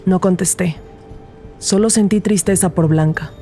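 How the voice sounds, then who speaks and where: Rookie detective narrator, dark soft-edged tone, lowish pitch, reflective, faint music. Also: female voice, a little slow. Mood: measured, reflective. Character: observant, empathetic rookie female detective. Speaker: narrator and lead in a detective audio drama. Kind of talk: first-person scripted narration and dialogue.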